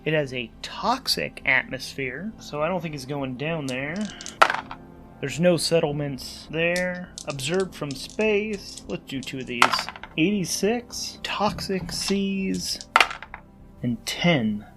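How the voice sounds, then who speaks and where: A man's voice: on the high side, expressive, takes it slowly, loud music behind the voice. A man narrating his solo tabletop role-playing game, thinking aloud in a conversational, musing way as host and player.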